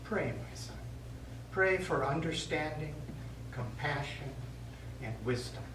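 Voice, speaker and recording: Stage priest, male actor: gentle, pastoral, measured; animated intonation, heard from far off in an echoey room. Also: fairly high pitch. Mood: solemn counsel. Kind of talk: stage play acting. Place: theatre studio stage. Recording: echoey stage, distant mic, noisy.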